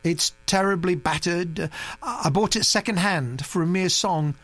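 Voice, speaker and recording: Detached young woman as read by an audiobook narrator; offhand, higher than usual, unhurried. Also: a bit bright, dry recording, natural voice. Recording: dry recording with mains hum.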